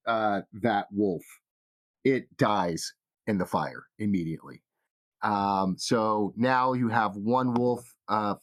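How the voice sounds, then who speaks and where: A man narrating a tabletop role-playing game as game master, casual and free with swearing. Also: fairly low pitch. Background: music.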